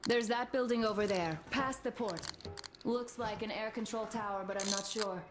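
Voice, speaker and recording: An actress playing a practical young woman scout in a video game, speaking in a calm, matter-of-fact way. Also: mid-range voice, moderate pace, music in the background, close to an everyday voice. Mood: observant. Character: young woman scout in a post-apocalyptic band of survivors, practical.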